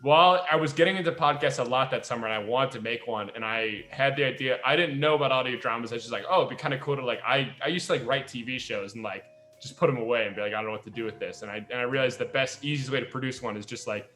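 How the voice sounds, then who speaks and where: Bright, echoey young-sounding man chatting. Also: mid-range voice, quick pace. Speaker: podcast host and audio drama creator. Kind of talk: casual chat, reminiscing among friends. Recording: roomy echo, faint music behind.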